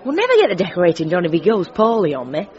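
A woman playing a bossy, breezy, down-to-earth character with a British accent in a comedy audio drama, brisk and scolding. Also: lowish voice, wildly swooping pitch, a crowd in the background. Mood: cheerful. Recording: dull recording with slight hiss.

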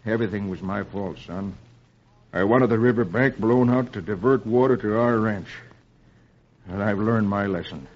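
A man voicing a gruff, folksy outlaw cowboy father in an old radio comic-strip reading. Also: medium pitch, lively intonation, fairly quick, few pauses, close to an everyday voice. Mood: rueful. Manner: dramatic, folksy. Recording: muffled old radio broadcast with music.

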